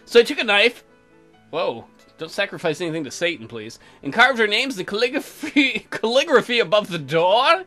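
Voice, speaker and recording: Bright, animated male let's play host. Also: sits high, normal speed, soft background music. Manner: irreverent, crude, mocking. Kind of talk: joking game commentary mixed with reading aloud.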